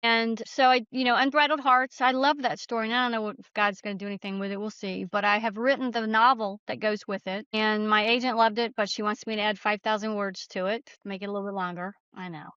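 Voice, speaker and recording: A woman's voice: mid-range voice, fairly even intonation, quick pace, few pauses, sharp tone, clear, resonant tone, no echo. A woman author chatting informally as an interview guest, self-effacing, on a slightly dull recording. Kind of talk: casual conversational interview.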